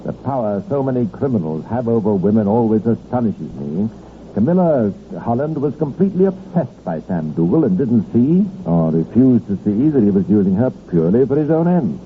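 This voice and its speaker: A man narrating a scripted true-crime radio programme in a measured, formal storytelling manner, on an old recording. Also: lowish voice, sing-song. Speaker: narrator and host. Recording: tinny, noisy 1950s radio recording.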